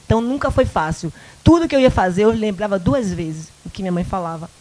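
A woman in her fifties from the Bahia countryside with a Bahian Brazilian accent, a guest in a small local studio interview, telling lively personal stories into a handheld mic and acting out the dialogue. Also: medium pitch, fast talker. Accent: Bahian Brazilian Portuguese. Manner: animated, acts out quoted dialogue, frequent "né?". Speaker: guest, event producer. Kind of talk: casual interview storytelling.

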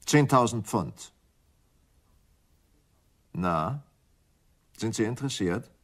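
An actor playing a polite, suave, insinuating schemer with a shady offer in a German radio crime drama. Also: male voice, lowish voice, expressive, slow pace. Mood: suave, persuasive. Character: smooth, furtive scheming gentleman with a shady offer.

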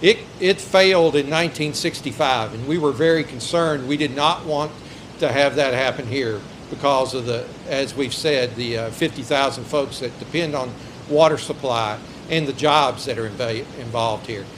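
A man's voice: mid-range voice, normal speed, background noise. A middle-aged man reading prepared remarks at a stand microphone outdoors, with frequent hesitant fillers. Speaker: local official. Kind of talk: introduction speech and technical explanation. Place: outdoors by a river.

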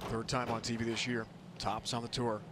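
A man doing live play-by-play for a televised bowling match, calling each shot in brisk, punchy phrases. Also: medium pitch, completely flat delivery. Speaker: play-by-play announcer. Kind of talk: live sports commentary. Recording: loud arena crowd and noise behind.

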